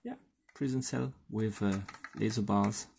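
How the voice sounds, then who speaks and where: A man giving casual, halting unboxing commentary as a host, murmuring to himself as he handles things, in a husky, gravelly voice. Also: fairly low pitch, steady tone, darkish tone, slight background noise. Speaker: toy unboxing video host.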